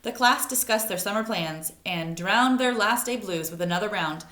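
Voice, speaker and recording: An echoey, roomy recording of a woman reading a story aloud. She sounds like a teacher talking to small children, telling it expressively and adding little asides. Her pitch sits in the middle range for a woman. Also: normal speed. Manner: expressive, addressing young children. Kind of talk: read-aloud of a children's picture book with asides.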